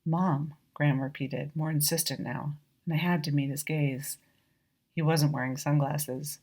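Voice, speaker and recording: A woman reading her own short story as measured, reflective literary narration, lightly acting out the dialogue. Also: deep voice, steady tone, a little mellow.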